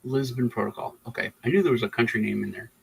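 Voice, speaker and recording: A man's voice: medium pitch, quick pace, vocal fry, a little hiss or hum, rough recording. A man from Texas who grew up partly in Germany, chatting casually as a podcast host in a rambling way, often restarting his phrases. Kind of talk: casual political chat.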